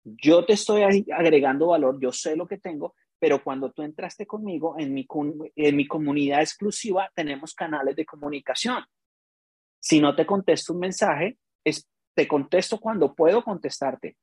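A Colombian man with a slightly raised voice and a husky edge, talking as a business coach. He tells stories emphatically and acts out dialogue in a dry, close recording. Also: on the high side, normal speed, a little mellow, no echo. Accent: Colombian Spanish. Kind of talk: casual podcast chat.

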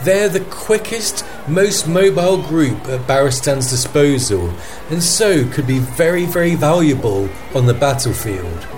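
Man playing higher-voiced, animated war correspondent. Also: measured pace, faint music behind. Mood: earnest. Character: earnest male war correspondent in a fantasy city. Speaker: field correspondent. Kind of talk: radio news report roleplay.

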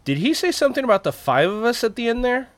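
A man's voice: extremely high pitch, big pitch swings, fast talker, no echo. An actor playing an irritable, sarcastic tour guest who needles his friends emphatically in a comedic audio drama. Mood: exasperated.

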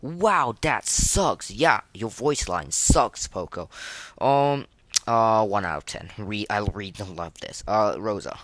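Halting, rambling podcast host, dry sound. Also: mid-range voice, lively intonation, a bit bright, no room sound. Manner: rambling, halting, repeats words and phrases. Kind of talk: casual chat rating game voice lines.

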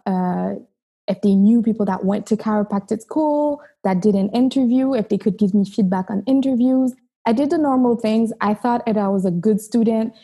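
Earnest woman from Haiti, mellow husky voice. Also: medium pitch. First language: Haitian Creole. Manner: earnest, reflective, with frequent restarts. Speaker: chiropractor, interview guest. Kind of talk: casual storytelling interview.

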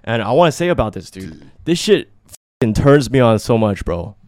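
A young man hosts a loose podcast chat, full of slang and swearing. His voice sits at a middle pitch and sounds dry and close to the mic. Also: big pitch swings, normal speed, a little mellow, no echo. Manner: slangy, swearing, laughing often. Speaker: podcast host. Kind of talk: casual banter with friends.

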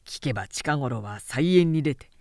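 A woman's voice: extremely low pitch, animated, unhurried, darkish tone, dry recording, studio quality, voice pushed down, heavily acted. A woman voicing a proud, teasing male warlord in an audiobook, lordly and hearty in conversation.

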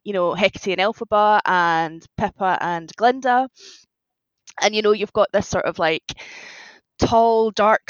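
A woman guest writer chatting in a casual interview, animated and a little self-deprecating, on slightly muffled audio. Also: mid-range voice, smooth, dry recording. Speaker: guest fan fiction writer.

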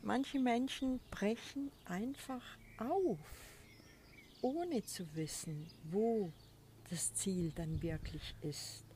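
A woman hosting a spiritual podcast, talking in a soft, breathy, reflective way from a balcony with birds behind her. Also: medium pitch, drawn-out words, slight background noise. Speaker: podcast host. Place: outdoors on a balcony. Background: birds and a crow.

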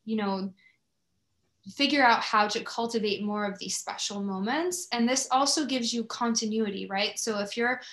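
A woman's voice: a little high, normal speed, fairly bright tone. A woman hosting an interview in a conversational, thoughtful and enthusiastic way. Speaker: podcast host interviewing a guest. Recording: roomy, echoey sound.

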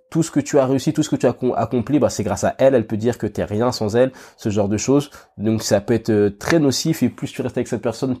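Mellow-voiced man with a cold. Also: mid-range voice. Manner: thinking aloud with many fillers and restarts; addresses listener directly with "tu vois". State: has a cold, sniffling. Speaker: podcast host. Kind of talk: casual solo podcast talk.

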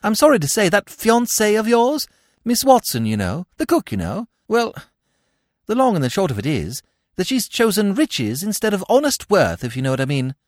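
A man voicing an excitable, dithering young upper-class English gentleman in an audiobook, flustered and rambling in old-fashioned slang. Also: high-pitched, normal speed, no echo, studio quality, pitched above the performer's own voice. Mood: glum, agitated. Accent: British upper-class.

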